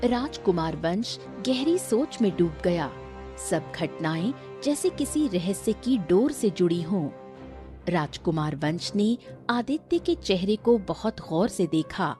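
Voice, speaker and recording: A woman's voice: on the low side, sing-song, slow, mellow, soft background music. A woman narrator reading a scripted children's story in a storybook style.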